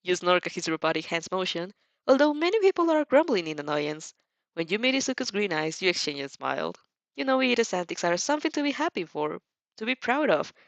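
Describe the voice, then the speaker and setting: A woman narrating a story in an animated way, with occasional slips in her phrasing. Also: fairly low pitch, fairly quick, no room sound, dull audio. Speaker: fan fiction reader.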